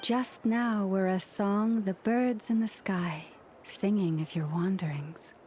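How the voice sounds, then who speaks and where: A woman playing a serene, gracious moon priestess in a video game, speaking in gentle, formal, poetic phrases. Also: medium pitch, takes it slowly, slight background noise, lightly acted. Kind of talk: video game character acting.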